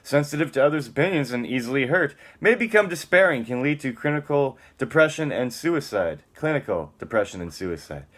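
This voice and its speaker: A man's voice: medium pitch, big pitch swings, fairly quick, hardly any pauses, fairly bright tone. A man giving a dramatic, theatrical spoken-word reading, moving between emphatic lines and muttered casual asides.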